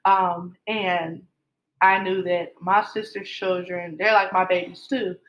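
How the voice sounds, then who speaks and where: A woman's voice: on the low side, steady tone, slow pace, fairly bright tone, rough recording. Chatty woman telling a story. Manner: rambling, many fillers and restarts, laughs easily. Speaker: guest telling her love story. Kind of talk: casual conversational storytelling.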